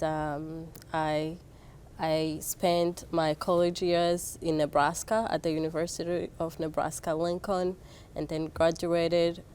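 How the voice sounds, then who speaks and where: Low-voiced woman from Rwanda, halting. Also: a little mellow, slight background noise. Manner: hesitant, many fillers and restarts. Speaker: program chair, guest. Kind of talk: casual interview answers.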